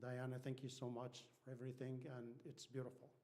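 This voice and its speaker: A man with an Egyptian accent and Arabic as his first language speaks at a middling pitch. He addresses a congregation informally as a guest speaker. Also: completely flat delivery, brisk, darkish tone. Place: church hall.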